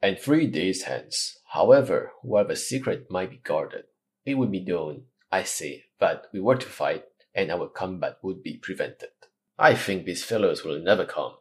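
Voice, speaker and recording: A man's voice: low-pitched, animated, a little slow. A man reading the part of a proud, wounded noble swordsman, in a formal and courtly manner. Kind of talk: audiobook character reading.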